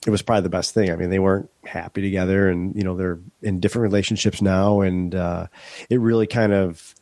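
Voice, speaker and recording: A man's voice: deep voice, steady tone, fast talker, a little mellow, dry recording, clean studio sound. A middle-aged man from Western New York, stuffed up from a head cold, chatting candidly as a podcast guest with many restarts. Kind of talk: casual interview chat.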